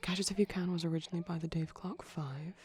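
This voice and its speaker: A man speaking softly in a chatty, rambling ASMR style, often dropping to a whisper, digressive and tentative. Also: a little high, fairly even intonation, fast. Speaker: ASMR performer. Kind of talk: soft-spoken rambling ASMR commentary on a film. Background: TV film audio playing in background.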